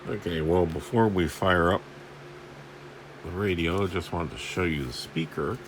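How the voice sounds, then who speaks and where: A man's voice: low-pitched, normal speed, a little hiss or hum. A man giving casual, rambling hands-on repair commentary, thinking aloud in informal, salty language. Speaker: host of a radio repair video. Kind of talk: casual hands-on commentary while inspecting equipment.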